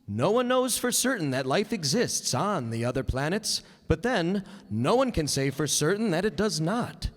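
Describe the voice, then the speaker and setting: A man playing a formal old-time radio announcer, narrating a script with deliberate, theatrical phrasing. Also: a little high, very animated. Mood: solemn, intriguing. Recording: reverberant hall stage recording.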